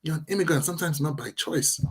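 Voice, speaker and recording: A man from Nigeria with a light Nigerian accent, a podcast guest telling his story in a quick, animated way. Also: fairly high pitch, slightly rough. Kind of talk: podcast conversation.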